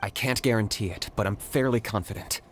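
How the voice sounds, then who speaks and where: An actor playing a cool, confident young hunter in a video game, terse and decisive. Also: male voice, fairly low pitch, brisk, slight background noise, lightly acted. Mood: calm, resolute.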